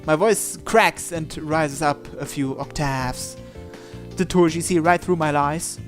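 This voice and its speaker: A man's voice: fairly high pitch, slow pace. A man reading a visual novel aloud, narrating and acting out the protagonist's lines. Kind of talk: dramatized reading of narration and dialogue. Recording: music playing behind the voice.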